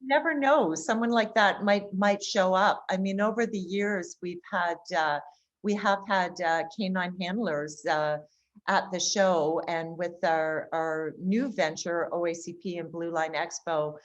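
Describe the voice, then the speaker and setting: A woman with a slightly low voice answers as a guest. Her delivery is halting and hesitant, in a casual explanatory style. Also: lo-fi sound. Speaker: interview guest, expo organiser.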